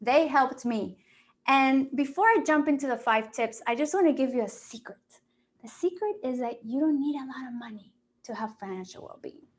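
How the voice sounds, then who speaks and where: Woman, financial planner; encouraging, upbeat, slightly high, on a video call. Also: lively intonation, moderate pace. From Peru. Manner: upbeat, encouraging, with fillers and restarts. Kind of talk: webinar presentation.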